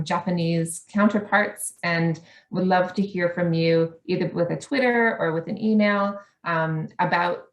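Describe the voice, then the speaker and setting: A woman's voice: lowish voice, echoey room. A woman with a Canadian accent giving an earnest conference presentation as an academic, with frequent ums.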